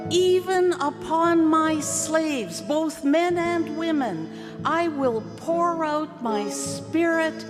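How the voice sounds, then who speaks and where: A woman giving a dramatic scripture reading at a church service, with theatrical, deliberate phrasing and long pauses. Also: high-pitched. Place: large church. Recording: long church reverb, music playing behind. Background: music.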